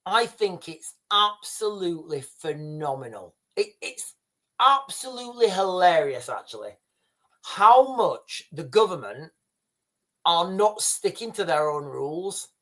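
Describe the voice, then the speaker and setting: A man's voice: high voice, big pitch swings, a little slow, sharp tone. Rambling man livestreaming. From Yorkshire, England. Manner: rambling, repeats and restarts phrases, often addresses viewers as "guys". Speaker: livestream host reading viewer comments. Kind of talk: casual livestream commentary.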